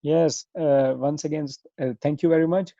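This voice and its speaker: Muffled Pakistani man on a call, mellow. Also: fairly high pitch, fairly even intonation, no room sound. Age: 30s. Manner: hesitant, halting, self-correcting. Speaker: podcast guest, software engineer. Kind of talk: conversational interview answers. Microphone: remote internet or phone call. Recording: dull lo-fi call audio.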